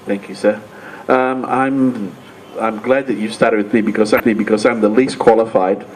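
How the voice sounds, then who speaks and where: An older man speaks at a book launch panel in a fairly low voice, pausing often with ums and restarts. Faint room noise sits under his voice. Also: darkish tone, poor audio. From Australia. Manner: hesitant, many fillers and restarts. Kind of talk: public panel talk.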